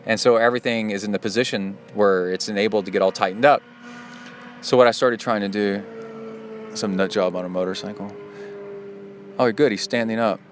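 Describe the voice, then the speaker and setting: A man's voice: on the low side, steady tone, a little fast, pauses often, a little hiss or hum. A middle-aged man hosting a podcast outdoors in a park, talking in a loose, chatty way with jokey asides. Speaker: podcast host and triathlon coach. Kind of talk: casual rambling podcast monologue.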